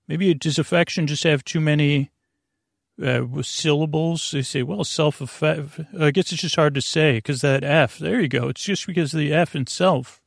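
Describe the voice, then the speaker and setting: A man hosting a bedtime podcast with a creaky, gravelly voice, meandering and halting in a goofy, self-deprecating monologue that keeps going off topic. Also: fairly high pitch, no room sound, studio quality. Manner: meandering, halting, goes off topic mid-sentence. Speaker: podcast host.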